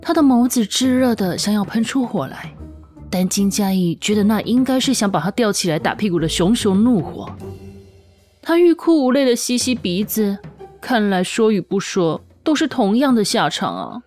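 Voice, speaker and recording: 女声有声小说旁白，配乐衬底. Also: female voice, mid-range voice, expressive, moderate pace, darkish tone. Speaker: audiobook narrator. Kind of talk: expressive scripted story narration. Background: background music.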